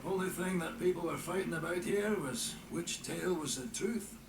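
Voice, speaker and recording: Gruff bantering male sidekick for a fantasy game, actor-played: gravelly, folksy, set back in a roomy space. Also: on the high side, moderate pace, recorded from a distance, background noise, lightly acted. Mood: teasing. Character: gruff, teasing, loyal male comrade. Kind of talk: fantasy video game dialogue.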